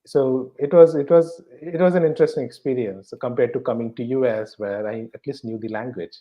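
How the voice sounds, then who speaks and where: A man from eastern India, a native Bengali speaker with a Bengali Indian accent, answering casually in an interview at home in halting, repetitive phrases. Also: medium pitch, steady tone, mellow. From West Bengal, eastern India. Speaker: podcast guest, bank professional.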